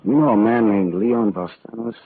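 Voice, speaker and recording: An actor playing a wry, hard-boiled private detective in old-time radio noir, with clipped, slangy wisecracks. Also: male voice, fairly low pitch, normal speed, slightly rough. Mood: terse. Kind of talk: old-time radio noir acting and first-person narration. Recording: muffled, noisy old-time radio recording.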